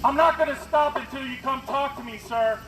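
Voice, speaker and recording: Angry protester guy on a bullhorn, really high pushed voice, echoey noisy street behind him. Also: a little fast, a bit bright. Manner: confrontational, accusing, emphatic. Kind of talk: impassioned protest speech shouted through a bullhorn. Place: outdoors at a street rally, traffic noise behind.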